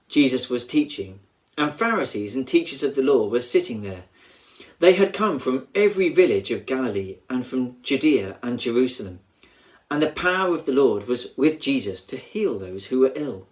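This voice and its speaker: A man, a church minister, reading scripture aloud in a solemn, measured and expressive way with deliberate pauses. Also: mid-range voice.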